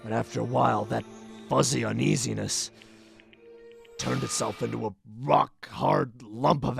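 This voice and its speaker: A man playing a tough, hot-tempered, guilt-ridden young man in an anime fandub, intense and halting, moving between hushed confession and yelling. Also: mid-range voice, gravelly. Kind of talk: dramatic anime-style fandub acting. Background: faint music behind the voice.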